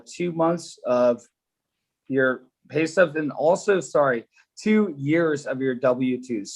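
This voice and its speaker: Polish-born man explaining mortgage steps. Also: mid-range voice. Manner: explanatory, loose, lots of fillers and restarts. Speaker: loan officer. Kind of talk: casual live-stream Q&A, explaining mortgages.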